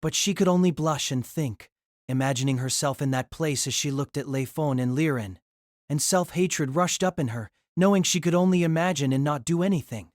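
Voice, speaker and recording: A woman's voice: deep voice, moderate pace, darkish tone, dry recording, clean studio sound. A woman reading scripted narration of a novel as an audiobook. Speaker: narrator and reader.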